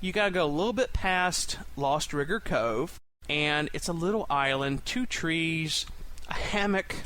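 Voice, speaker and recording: A man's voice: fairly high pitch, lively intonation, a little slow, bright, forceful tone, smooth. A man hosting a podcast in a casual, enthusiastic monologue, chatty and emphatic in the way he talks. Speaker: podcast host.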